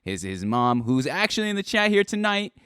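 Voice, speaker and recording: Upbeat young man hosting, smooth voice. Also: a little high, brisk, dry recording, studio quality. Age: 20s. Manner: energetic, upbeat, addresses the chat. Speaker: host. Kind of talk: casual live-stream interview.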